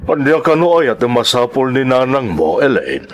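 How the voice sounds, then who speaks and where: A man plays a concerned middle-aged uncle in a radio-style drama. His medium-pitched voice swings in a very lively way as he gives frank, sympathetic advice. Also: normal speed, lightly acted. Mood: questioning.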